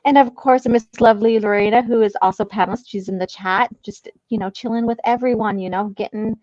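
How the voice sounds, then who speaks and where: Chatty lady hosting a livestream, highish voice, reading chat, kinda lo-fi and close. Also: steady tone, a little fast, a little mellow, no room sound. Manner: chatty, informal. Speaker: livestream panel host. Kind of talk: casual livestream chat, reading out viewer comments.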